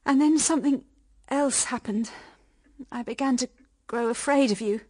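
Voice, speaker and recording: An actress playing a pleading, proud young British wife in a period radio drama, speaking with emotional, halting delivery. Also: female voice, high-pitched, darkish tone. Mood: sad, reproachful. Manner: emotional, halting, stammering under strain.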